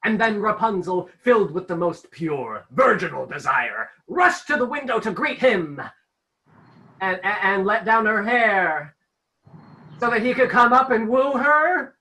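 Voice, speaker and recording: A woman's voice: medium pitch, big pitch swings, unhurried, bright, forceful tone, heavily acted, rough recording. A woman playing a pompous, controlling storybook narrator who is easily flustered, in a radio comedy.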